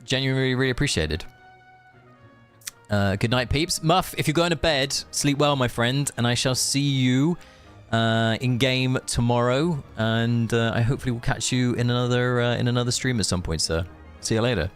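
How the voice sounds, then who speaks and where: A man's voice: mid-range voice, normal speed, smooth, soft background music. A man chatting informally and chummily to viewers as he streams a game. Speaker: game livestreamer. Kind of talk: casual livestream chat and commentary.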